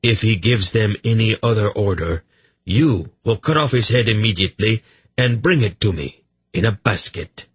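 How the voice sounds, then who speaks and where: A man reading an audiobook voices a sly, excitable young king who commands his generals in a sharp, imperious way. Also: lowish voice, moderate pace, breathy edge, no room sound, pitched above the performer's own voice. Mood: commanding. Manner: sharp, imperious, then gleeful.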